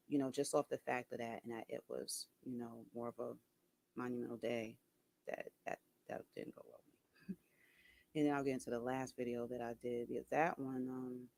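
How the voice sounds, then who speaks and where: A soft-voiced man talking casually to camera, hesitant, with many ums and restarts. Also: medium pitch, vocal fry. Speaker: video creator.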